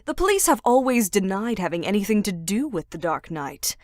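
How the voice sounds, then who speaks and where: Probing TV commentator, actress, medium pitch, composed, close dry recording, comic dub. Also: female voice, very animated, brisk, no echo, natural voice. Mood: critical. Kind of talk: fan dub voice acting, news debate.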